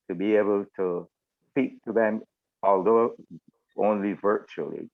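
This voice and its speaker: Phone-like, low-voiced older Barbadian man. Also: vocal fry. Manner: formal, deliberate phrasing with anecdotes. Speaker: retired military officer giving closing remarks. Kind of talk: informal thank-you speech on a group call. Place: online video meeting. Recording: narrow phone-like video call audio.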